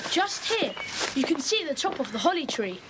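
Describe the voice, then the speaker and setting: A woman's voice: high-pitched, very animated, moderate pace, very noisy. A woman voicing an eager young boy in an audio drama, who talks in an earnest way as he reports what he saw.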